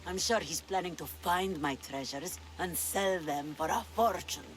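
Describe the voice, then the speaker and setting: A woman's voice: lowish voice, unhurried, soft background music, lightly acted. An actress playing a sly, imperious, treasure-loving pirate queen of mature years, with a languid, theatrical delivery in a video game cutscene. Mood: commanding. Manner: languid, commanding, teasing, theatrical.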